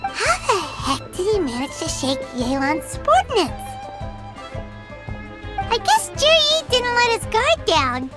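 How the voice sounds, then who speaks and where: Very high, squeaky fairy companion. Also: female voice, wildly swooping pitch, unhurried, long pauses, exaggerated voice. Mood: curious, puzzled. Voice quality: squeaky, childlike. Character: tiny, excitable, childlike fairy companion, squeaky and inquisitive. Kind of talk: scripted video game dialogue. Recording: game audio with prominent music.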